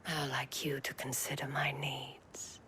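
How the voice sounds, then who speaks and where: Noblewoman: low register, refined, wry, composed, fantasy game drama. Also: flat delivery, pushed and bright, slight background noise, heavily acted. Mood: wry, poised. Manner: refined, measured, flirtatious wit. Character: poised noble lady of a town, wry and grateful. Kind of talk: video game dramatic acting.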